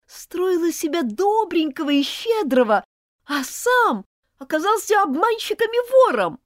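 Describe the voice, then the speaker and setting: A woman's voice: very high voice, sing-song, measured pace, no echo, studio quality, voice pushed up, heavily acted. A woman reading aloud, voicing an indignant schoolgirl who scolds and accuses. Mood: outraged. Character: furious indignant schoolgirl, sharp-tongued. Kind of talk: audiobook character voice.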